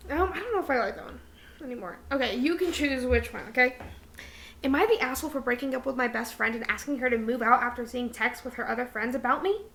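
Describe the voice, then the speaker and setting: A woman's voice: high-pitched, a bit bright, slight background noise. A young adult woman co-hosting a casual chat podcast, reading aloud and breaking off into irreverent, giggly joking asides. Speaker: podcast co-host.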